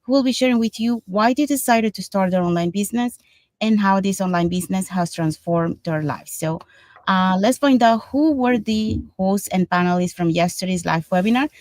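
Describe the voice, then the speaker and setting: A woman from Panama hosts an online panel and explains things step by step in a chatty way. Her voice sits in a middle pitch. The sound is dry and close, with almost no room echo. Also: moderate pace, darkish tone. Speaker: host. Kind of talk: online panel show hosting.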